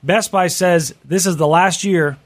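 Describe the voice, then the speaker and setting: A man's voice: high voice, unhurried. A man hosting a talk radio show, chatting casually and upbeat with his co-hosts.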